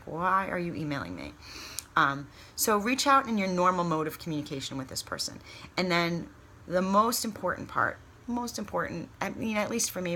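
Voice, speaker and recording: Chatty low-voiced woman coaching, some background noise. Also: fairly bright tone. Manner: chatty, encouraging, candid, emphatic. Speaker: consultant giving sales training. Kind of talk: informal training talk with acted sample pitches.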